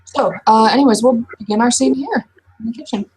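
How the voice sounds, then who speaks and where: A woman running and playing a casual, improvised tabletop role-playing game, talking in a halting, informal way. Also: on the high side, darkish tone, breathy edge, rough recording. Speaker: game master and player.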